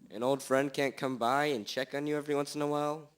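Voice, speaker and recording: A man playing a self-important, gruff detective with theatrical declarations in an amateur radio drama, roughly recorded. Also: on the high side, fairly even intonation, fast, natural voice. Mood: businesslike. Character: melodramatic male small-town detective, gruff and self-important.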